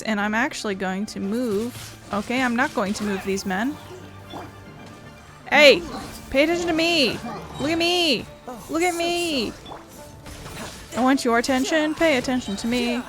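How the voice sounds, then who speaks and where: High-voiced woman commentating on a game. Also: fairly bright tone. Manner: rambling, playful. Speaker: gaming video host. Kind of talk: casual game playthrough commentary.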